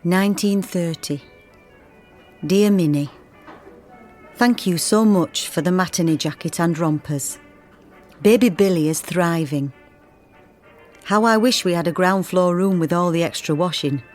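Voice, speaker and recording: A woman's voice: on the low side, unhurried, long pauses, a little mellow, faint music behind, lightly acted. An actress playing a plain-spoken, homesick young emigrant mother, reading her letters as radio drama narration. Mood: wistful, reflective.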